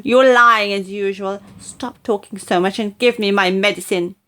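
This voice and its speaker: A woman's voice: medium pitch, steady tone, normal speed, sharp tone, natural voice. A woman voicing a cranky, bossy old grandmother in a storybook reading, scolding and exclaiming. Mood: snappish, bossy.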